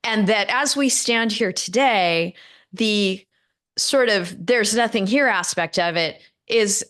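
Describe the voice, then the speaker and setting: A woman's voice: medium pitch, slow pace, fairly bright tone. A middle-aged woman from Northern California hosting an interview, talking in long rambling turns full of fillers and restarts. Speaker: podcast host. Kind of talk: interview conversation.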